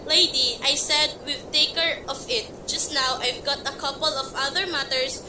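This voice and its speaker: A woman's voice: high voice, steady tone, natural voice. A woman playing a curt, busy male police sergeant who brushes off a caller in an amateur radio play. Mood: dismissive. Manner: brisk and dismissive. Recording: echoey, distant, noisy amateur recording.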